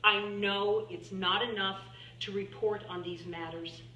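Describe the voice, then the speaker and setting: A woman's voice: medium pitch, steady tone, pushed and bright. A woman playing an earnest scientist and writer, giving a formal, emphatic speech to lawmakers in a dramatic monologue. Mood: earnest, impassioned. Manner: deliberate, emphatic, oratorical. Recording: echoey room, distant mic, faint hum.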